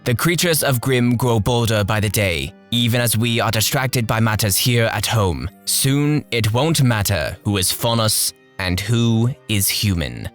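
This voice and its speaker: A man acting a composed, diplomatic lord in a fan dub, with a formal and measured delivery. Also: on the low side, animated, a bit bright, faint music behind. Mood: grave.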